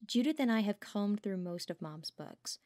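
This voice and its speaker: A woman's voice: medium pitch, expressive, moderate pace, mellow, no echo, studio quality, close to an everyday voice. A woman playing a curious, bookish young researcher in an audio drama, speaking thoughtfully and conversationally. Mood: puzzled, uneasy. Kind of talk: audio roleplay drama acting.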